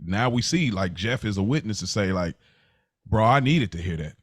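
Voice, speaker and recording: A man's voice: lowish voice, expressive, moderate pace, husky, no room sound, clean studio sound. An American man hosting a podcast in casual chat, speaking earnestly and often doubling back on his words. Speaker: podcast host.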